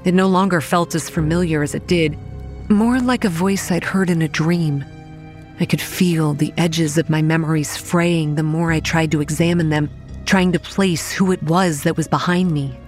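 A dazed young woman played by an actress tells her own horror story in an audio drama. Her delivery is measured, introspective and haunted, with faint music underneath. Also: sits low, steady tone, a little mellow, close to an everyday voice. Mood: brooding, wary. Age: young adult. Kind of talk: first-person horror drama narration.

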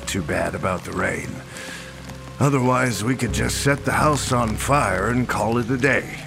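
An actor playing a grim, weary rural sheriff in a horror audio drama, laconic and deadpan. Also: male voice, mid-range voice, measured pace, vocal fry. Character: grim, weary rural sheriff, matter-of-fact about monsters. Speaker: supporting character, sheriff. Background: rain and music.

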